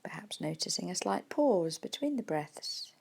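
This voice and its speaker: A woman leading a guided mindfulness meditation in a gentle, unhurried manner with soft pauses. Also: on the low side, big pitch swings, vocal fry, breathy edge. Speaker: meditation teacher.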